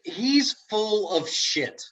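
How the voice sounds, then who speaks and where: Casting: woman as reluctant male sceptic in stage comedy, husky, animated, incredulous and argumentative. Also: mid-range voice, extremely slow, exaggerated voice. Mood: angry. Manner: indignant, sarcastic, incredulous. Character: Dave, exasperated, argumentative young man, the reluctant sceptic. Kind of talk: comic script table reading. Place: remote video call.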